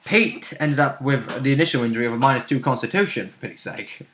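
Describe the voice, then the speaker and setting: A man's voice: medium pitch, expressive, quick pace, a bit bright, a little hiss or hum. A man chatting casually as a player about a tabletop role-playing game. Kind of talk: casual chat recapping a game session. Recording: roomy, echoey indoor recording.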